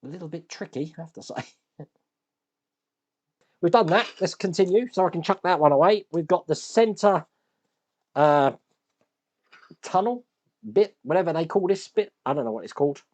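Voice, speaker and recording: Hoarse man chatting as he builds. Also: fairly high pitch, animated, moderate pace, long pauses, darkish tone. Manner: chatty, self-deprecating, thinks aloud while working. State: hoarse, deep voice from shouting. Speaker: model-building channel host. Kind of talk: casual hands-on build commentary.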